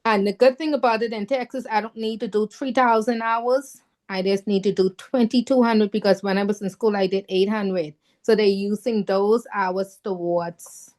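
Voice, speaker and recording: A woman whose first language is not English, telling a story with animated emphasis as a newly licensed counselor on a call. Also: mid-range voice. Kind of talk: casual chat on a video call.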